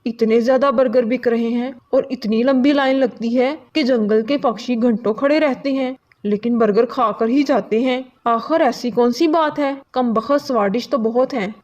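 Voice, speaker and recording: A very high, exclamatory voice from a woman playing a scheming, envious male pigeon in a cartoon. The phrases get repeated as the bird plots. The recording is dull and lo-fi. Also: moderate pace, few pauses, a little mellow, close to an everyday voice. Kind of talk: cartoon story character acting. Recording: dull lo-fi sound.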